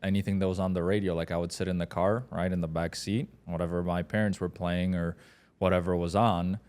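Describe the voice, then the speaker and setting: A man's voice: sits low, flat delivery, a little fast, a little mellow, clean tone. A man from Poland, Polish his first language, co-hosting a podcast in hesitant casual chat, full of "like" and "right". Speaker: podcast co-host.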